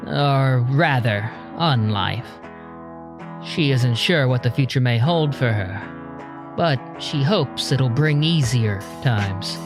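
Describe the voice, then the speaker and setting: Slow-paced male audio drama narrator. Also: medium pitch, lively intonation, over music.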